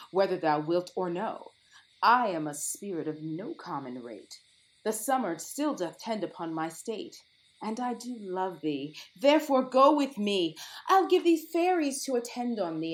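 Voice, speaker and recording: A woman's voice: mid-range voice, low-quality recording. An actress playing a lovestruck, commanding fairy queen, grand and declamatory in a Shakespearean audio play. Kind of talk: theatrical Shakespearean audio drama acting.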